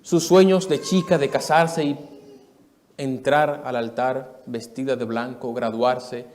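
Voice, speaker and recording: A man in his thirties from the Dominican Republic, preaching a sermon testimony to a live church audience in an earnest storytelling way, moving between soft and emphatic. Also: mid-range voice, steady tone, takes it slowly.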